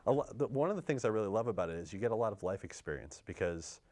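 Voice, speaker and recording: A young man from Pennsylvania who sings bass in a barbershop chorus, answering interview questions earnestly. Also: expressive, very fast, a little mellow. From Pennsylvania, USA. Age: young adult.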